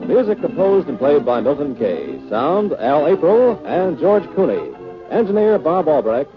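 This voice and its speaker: A man's voice: a little high, sing-song, unhurried, music in the background. An American man announcing formally and emphatically from a script in 1950s radio style. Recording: muffled 1950 radio broadcast.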